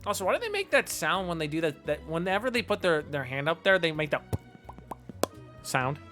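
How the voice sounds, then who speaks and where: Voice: mid-range voice, big pitch swings, a little fast, pushed and bright. A streamer giving casual, joking, flippant commentary over a game. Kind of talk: casual livestream gameplay commentary. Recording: faint game music behind.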